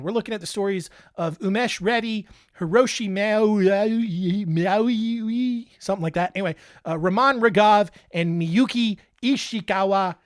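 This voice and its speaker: A man's voice: high voice, no room sound. Casual male podcast host, joking and playful, dry close mic. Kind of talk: casual solo podcast chat.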